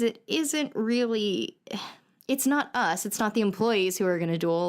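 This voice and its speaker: A woman telling an anecdote casually, in a meandering, hesitant, conversational way. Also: mid-range voice. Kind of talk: improvised casual storytelling.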